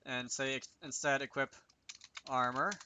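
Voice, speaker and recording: A man's voice: medium pitch, little pitch movement, a little slow, pushed and bright, a little hiss or hum. A man giving a casual coding tutorial, talking to himself as he works, with wry little asides. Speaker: video creator demonstrating game programming.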